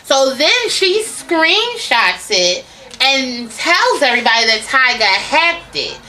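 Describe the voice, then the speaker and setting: Chatty woman co-host with a bright voice. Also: high voice, sing-song, takes it slowly, slight background noise, poor audio. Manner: chatty, hesitant, full of ums and restarts. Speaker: gossip vlog co-host. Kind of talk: casual celebrity gossip chat.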